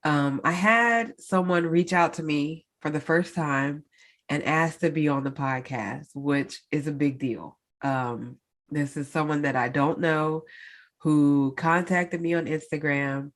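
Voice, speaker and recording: Solo podcast host, woman, stuffy-nosed and nasal, deep slow easy delivery. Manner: chatty, many fillers, addresses listeners directly. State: congested, stuffed-up nose. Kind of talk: casual solo podcast update.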